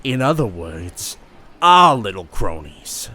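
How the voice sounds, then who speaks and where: A man's voice: fairly low pitch, very animated, slow pace, pushed and bright, heavily acted. A man doing a venomous scheming crime boss. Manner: hissing, commanding.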